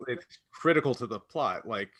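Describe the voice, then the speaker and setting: A man with a bright, forward voice at medium pitch chats as a panelist. His delivery is casual and conversational. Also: expressive, normal speed. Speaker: podcast panelist. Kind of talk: casual discussion.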